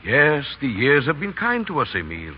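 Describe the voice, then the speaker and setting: An actor playing a worldly French book publisher, expressive and theatrical in a 1930s radio drama. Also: male voice, medium pitch, normal speed, slight background noise. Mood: jovial. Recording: dull 1930s radio broadcast with music.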